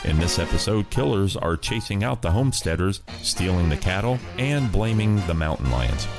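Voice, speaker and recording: A man hosting a classic radio program, introducing the episodes in an even, unhurried way. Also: low voice, music in the background. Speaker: host.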